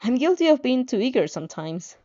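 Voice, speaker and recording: A woman's voice: fairly high pitch, very animated, a little fast, warm tone, dull audio, voice pushed up. A woman voicing an eager, friendly young heroine in a bubbly, upbeat manner. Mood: eager, delighted.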